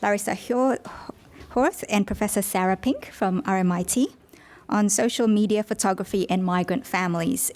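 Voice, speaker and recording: A woman chairing a conference session, giving a formal introduction in a halting way that trips over words. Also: medium pitch, a little mellow. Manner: halting, stumbles over names.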